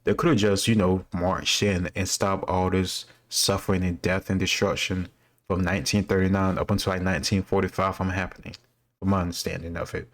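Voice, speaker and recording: Low-pitched man, flat casual delivery. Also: fairly quick. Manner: informal, rambling, thinking aloud. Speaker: host reacting to history quiz questions. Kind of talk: casual off-the-cuff quiz commentary.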